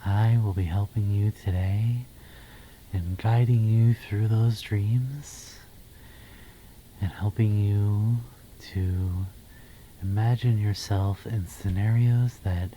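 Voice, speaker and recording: A man guiding a meditation in ASMR style, speaking softly and breathily, half in a whisper, with a soothing, gentle manner and pauses between phrases. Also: on the low side, fairly even intonation, a little hiss or hum, poor audio.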